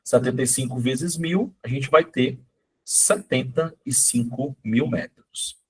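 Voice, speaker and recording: Husky-voiced middle-aged guy from ceará teaching math online, hoarse from a cold, keeps asking "né? tá?". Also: mid-range voice, slow pace, low-quality recording. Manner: chatty, coaxing, constant "né?" and "tá?" checks. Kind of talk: live online exam-prep class.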